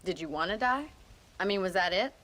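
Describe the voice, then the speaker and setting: An actress playing a brisk, sardonic young woman rancher with an American accent in a Western video game, frank and teasing. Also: medium pitch, lively intonation, fairly bright tone, a little hiss or hum, natural voice. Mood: wry, teasing.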